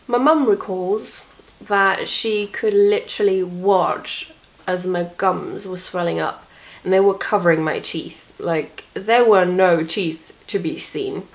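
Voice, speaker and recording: A woman's voice: lowish voice, slow, poor audio. A young woman telling stories from bed in a chatty, wry, self-mocking way, sniffly and coughing from a cold. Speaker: vlogger. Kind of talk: casual storytelling vlog from bed.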